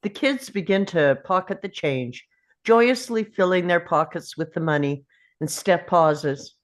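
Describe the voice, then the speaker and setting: A woman's voice: fairly low pitch, a little slow. A woman reading out the stage directions as narrator at a table read of a film script.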